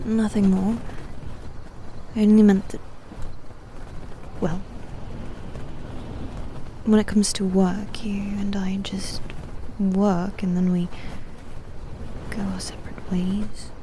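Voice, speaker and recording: A woman playing a proud, guarded demon knight who is secretly fond, in an intimate, hushed and breathy ASMR roleplay style with haughty, halting delivery. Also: medium pitch, flat delivery, slight background noise, lightly acted, poor audio. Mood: defensive, flustered. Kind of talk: ASMR roleplay, intimate half-whispered acting.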